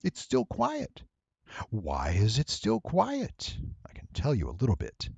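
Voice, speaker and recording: A man giving a casual, rambling software walkthrough, thinking aloud and playfully addressing his viewers. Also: mid-range voice, huge pitch swings, a little slow, creaky, husky, no echo, dull audio. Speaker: tutorial presenter and voice actor.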